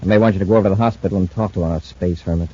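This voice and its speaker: An actor playing an authoritative space patrol commander in an old-fashioned radio serial, with clipped, decisive delivery. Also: male voice, low-pitched, fairly even intonation, very fast, dark tone, slightly rough. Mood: firm, serious. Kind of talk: 1950s radio drama acting. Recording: noisy, muffled old radio recording.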